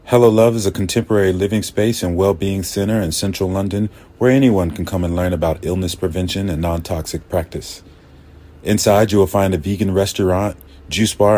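A man reading a scripted radio ad in a calm, measured way. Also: deep voice. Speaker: ad announcer.

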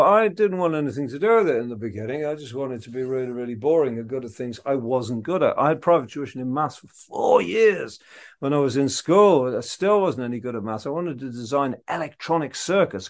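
A man in his fifties from the Liverpool area, a theatre maker guesting on a radio show, telling animated, self-mocking stories and laughing often. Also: mid-range voice. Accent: mild English accent. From England, Liverpool area. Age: 50s. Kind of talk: casual interview talk.

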